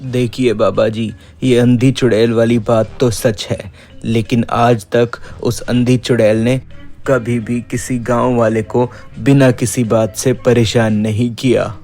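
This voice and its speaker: A man playing a cautious, reasonable village headman in a cartoon story, speaking politely and in a measured way. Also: medium pitch, flat delivery, darkish tone, natural voice. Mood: reasoning. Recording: background music.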